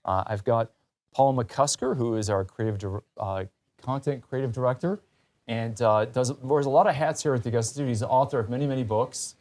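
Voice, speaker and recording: A man's voice: mid-range voice, brisk, darkish tone. A man hosting a talk show in a conversational, enthusiastic and emphatic way.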